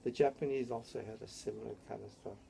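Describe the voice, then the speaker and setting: A man's voice: fairly low pitch, steady tone, fast, gravelly, mellow, slight background noise, rough recording. An older man from Hawaii, telling his life story in an oral history interview, rambling and tagging his sentences with "yeah?". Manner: rambling, tags statements with "yeah?".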